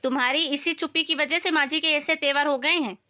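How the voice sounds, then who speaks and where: A woman voicing a resentful, outspoken elder daughter-in-law who complains confrontationally in an animated family story. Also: high voice, fairly quick. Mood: angry, indignant.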